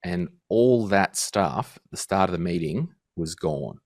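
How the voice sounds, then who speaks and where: Deep-voiced man telling stories. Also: slow, no echo, studio quality. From Australia. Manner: animated storyteller, acts out quotes. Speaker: guest financial podcaster. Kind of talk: casual interview chat.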